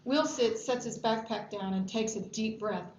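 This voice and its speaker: A woman's voice: a little high, moderate pace, darkish tone. A woman reading screenplay stage directions as scripted narration at a table read. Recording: echoey room, dull lo-fi, faint noise.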